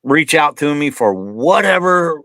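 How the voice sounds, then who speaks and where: A man's voice: on the high side, sing-song, unhurried, bright, forceful tone, dry recording. A man in his fifties, a guest on an online show, chatting loosely and telling stories, often calling his host "man". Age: 50s. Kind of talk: casual interview chat.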